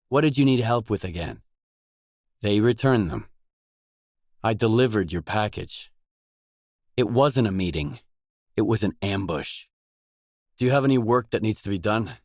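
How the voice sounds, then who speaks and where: An actor playing a wary, world-weary stalker, the blunt protagonist of a video game, in terse acted dialogue. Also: male voice, lowish voice, a little mellow, no echo, clean studio sound. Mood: businesslike.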